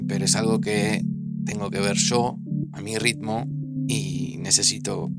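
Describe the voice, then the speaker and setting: A man's voice: lowish voice, steady tone, unhurried, creaky, loud music behind the voice. An actor playing an earnest, hesitant man in his thirties, hurt and grieving, with a Rioplatense Argentine accent, in an audio drama. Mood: tentative, tender. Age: 30s. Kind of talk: naturalistic audio drama acting.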